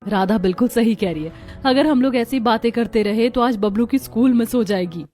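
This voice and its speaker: A woman voicing homely village women of one family in a cartoon story, with expressive talk. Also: fairly high pitch, a little fast, lightly acted. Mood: matter-of-fact. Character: village women of a family, daughters-in-law and mother-in-law. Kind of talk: Hindi cartoon story dialogue.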